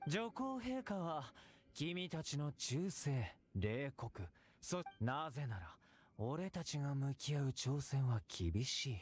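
A man playing a commanding young military officer in an anime game, with measured, theatrical speechmaking. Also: a little high, sing-song. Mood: solemn, commanding. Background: game music.

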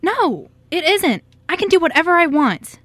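A woman's voice: very high voice, sing-song, moderate pace, a bit bright, dry recording, voice pushed up, exaggerated voice. A woman acting a timid, eager seven-year-old girl in an amateur radio play, with a hesitant, stammering manner. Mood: hurt, defiant.

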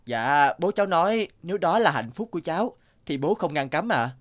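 A man voicing a kind, passionate young repairman in a cartoon, courteous and calm, with a Northern Vietnamese accent. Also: fairly high pitch, brisk. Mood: earnest. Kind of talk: animated cartoon dialogue.

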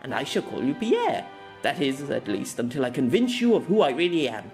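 A man voicing a confident, playful young noblewoman swordfighter in an audio story, soft-spoken but commanding, with grand archaic phrasing. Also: medium pitch, wildly swooping pitch, a little fast, faint music behind. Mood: bright, determined.

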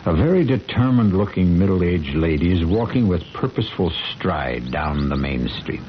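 A man narrating a 1970s radio mystery in an ominous, deliberate, theatrical manner. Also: deep voice, animated. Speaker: host and narrator. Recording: muffled vintage radio broadcast with loud music.

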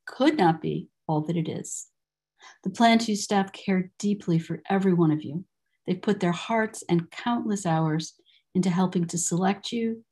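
An older woman with a somewhat husky voice gives a formal ceremonial address from a prepared text. Her pitch is middling for a woman and her phrasing is measured and deliberate. She speaks indoors into a computer microphone on a video call. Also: a little mellow. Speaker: university program director presiding over a ceremony.

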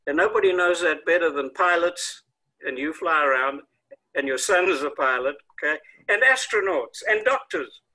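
A man's voice: a little high, bright, forceful tone, low-quality recording. An older man with a South African accent, explaining things conversationally as a consultant on a video call. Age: older, 60s or 70s. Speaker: consultant and coach, interview guest. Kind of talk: conversational explaining in an online interview. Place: home room with windows, indoors. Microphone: earbuds on a video call.

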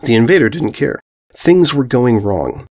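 Male narrator, animated intonation, comic story reading, scripted. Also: mid-range voice, a little slow. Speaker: fan fiction narrator.

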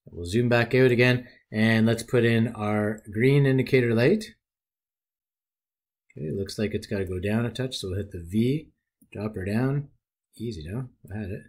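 A man narrating a screen-recorded software tutorial, talking through each step in a relaxed, folksy way. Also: lowish voice, moderate pace.

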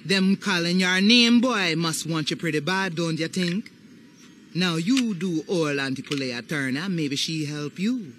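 An actress playing a sly older Haitian voodoo matriarch with a Caribbean accent, coaxing and ominous in a video game. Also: female voice, on the low side, measured pace, fairly bright tone, slight background noise. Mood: eerie, teasing. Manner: coaxing, ominous, dialect phrasing. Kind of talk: video game cutscene acting.

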